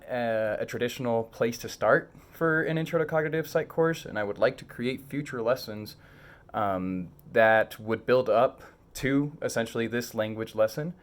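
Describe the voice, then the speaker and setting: A young man from Mexico whose first language is Spanish, earnestly introducing an online lesson as its teacher, with many small fillers and self-corrections. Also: mid-range voice.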